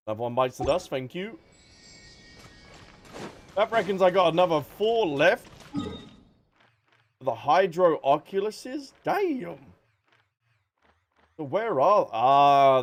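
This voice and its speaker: A man casually commentating a game on a livestream in a playful, chatty way, sometimes reading text aloud. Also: on the high side. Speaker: game streamer. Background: game music.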